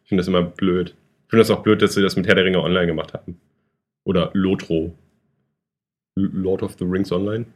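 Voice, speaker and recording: A young man with a somewhat low voice talks in a loose, rambling way, stumbling over fillers and restarting sentences as he jokes along. He sounds like a casual co-host chatting with a friend. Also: fairly even intonation, a little fast, long pauses. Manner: rambling, hesitant, lots of fillers, joking. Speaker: podcast co-host. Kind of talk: casual chat.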